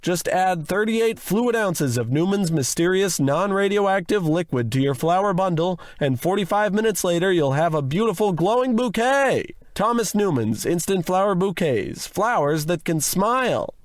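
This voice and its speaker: A man's voice: high voice, animated, moderate pace, hardly any pauses, dry recording, studio quality. A man playing a cheery old-time radio announcer, reading a parody advertisement in a polished, salesy way. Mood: cheery pitch.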